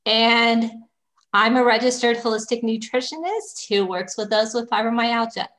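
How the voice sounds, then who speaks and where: A woman's voice: a little high, normal speed, fairly bright tone. Friendly female podcast host. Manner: friendly, short encouraging backchannels. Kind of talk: interview hosting.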